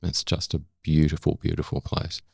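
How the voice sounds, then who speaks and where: Seventy-year-old Australian male, extremely low and creaky voice, reflective interview guest, close dry sound. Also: monotone, moderate pace, darkish tone, dry recording. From South Australia. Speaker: interview guest, former broadcaster.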